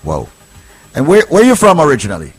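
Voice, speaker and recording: A man's voice: a little high, wildly swooping pitch, brisk, husky, soft background music. A man hosting a radio call-in show, asking short questions and plugging a product. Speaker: radio host.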